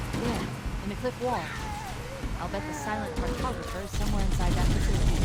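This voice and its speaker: A woman's voice: medium pitch, very noisy, natural voice. A woman playing a confident, analytical military artificial intelligence in a video game.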